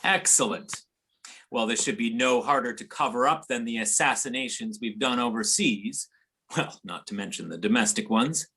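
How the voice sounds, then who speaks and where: A man's voice: medium pitch, normal speed, bright, forceful tone, breathy edge. A man playing a worn, sinister chief of covert operations, reading in an amateur readers theater over a video call. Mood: smug, cold. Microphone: video or conference call.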